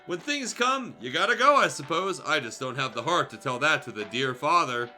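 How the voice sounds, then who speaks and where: A man's voice: on the high side, expressive, normal speed, bright, forceful tone, soft background music. A man voicing a matter-of-fact, callous dinosaur doctor with formal, clinical delivery in a fan fiction reading. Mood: detached.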